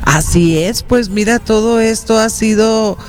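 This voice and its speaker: Woman, Mexican accent, interview guest, measured explanatory answers, light background music. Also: fairly high pitch, a little mellow. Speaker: institute director, interview guest.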